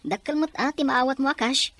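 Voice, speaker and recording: A woman's voice: sits high, fairly quick, a bit bright, dry recording. A woman playing an encouraging young student friend in a drama. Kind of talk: drama acting.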